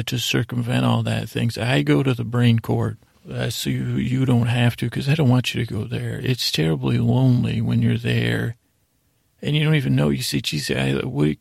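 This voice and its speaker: A man with a heavily creaky, husky voice at a medium pitch hosts a bedtime podcast. He meanders and doubles back on himself in a halting, lulling monologue. The recording is dry and close, with no room sound. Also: a little mellow, no room sound. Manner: meandering, halting, self-interrupting, soothing intent. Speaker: podcast host.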